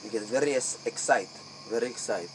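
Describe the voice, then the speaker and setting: A man playing a secretive vampire clan member in a comic interview, speaking halting, repetitive broken English. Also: medium pitch. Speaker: interview guest in character. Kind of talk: improvised comic role-play interview. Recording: noisy, crickets and insects behind.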